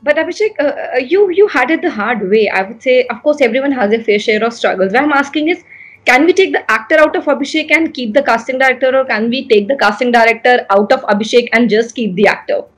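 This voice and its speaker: A young woman interviews a guest over a video call, chatty and keen. Her voice is a little high and breathy. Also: quick pace. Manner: chatty, enthusiastic questions. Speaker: entertainment interviewer. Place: indoor room. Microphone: laptop camera on a video call.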